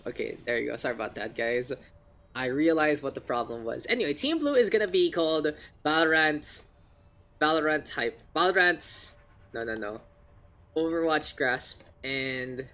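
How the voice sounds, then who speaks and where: A man with a gravelly voice hosting an esports livestream, mixing casual slangy chat and game commentary. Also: medium pitch, pushed and bright. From Philippines. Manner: informal, gamer slang, joking asides. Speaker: esports caster, streamer hosting the match. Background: video game sounds and announcer.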